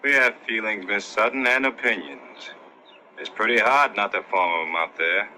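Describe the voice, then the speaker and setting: An actor playing an earnest army sergeant answering a reporter in a 1960s war film, heard through a poor playback. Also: male voice, on the low side, animated, normal speed, bright, forceful tone, slight background noise. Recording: poor film clip played back.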